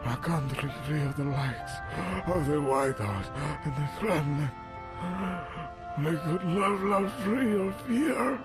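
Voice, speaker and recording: A man plays a dying idealist in an audio drama. He speaks slowly in broken, regretful phrases, weak but full of conviction. Music plays clearly behind him. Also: on the high side, expressive. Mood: anguished, fading.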